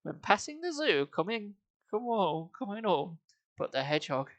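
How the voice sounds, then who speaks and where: A streamer with a mid-pitched voice reads comic verse in an amused singsong, swinging widely up and down. The sound is close and dry, and the delivery is informal, with chatty asides. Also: sing-song, moderate pace, no room sound, highs cut off.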